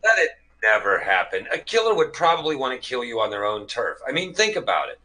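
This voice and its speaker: Guy playing grumbly sarcastic game-night dude, amateur script read, bright punchy voice, pitch all over. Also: sing-song, fairly quick, low-quality recording. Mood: scoffing. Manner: sarcastic, complaining. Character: grumbling, exasperated male board-game player, flippant buddy.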